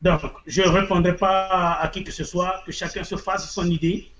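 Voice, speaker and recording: A man's voice: high-pitched, flat delivery, moderate pace, a bit bright, muffled recording, a little hiss or hum. A man with a Congolese French accent, a political activist answering an interviewer, repeating his phrases for emphasis. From Republic of the Congo.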